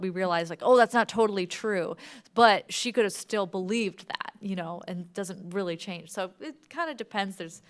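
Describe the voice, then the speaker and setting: A woman's voice: mid-range voice, a bit bright, clean tone. A woman talking casually and without a script on a panel, tentative and informal as she works out her thoughts. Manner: informal, tentative, thinking aloud. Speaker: guest speaker, podcast creator. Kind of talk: casual, unscripted panel talk. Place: live library event.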